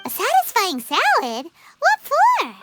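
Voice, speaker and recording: A woman voicing a tiny, childlike girl companion in a video game, squeaky, excitable and cheeky. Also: slow pace, over loud music, full character voice. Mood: curious. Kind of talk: video game cutscene acting.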